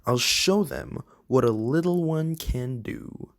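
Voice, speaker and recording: A reader acts out a little car from a children's storybook, a determined underdog. The pitch moves around in an animated way and the words come very slowly. It sounds earnest and plucky.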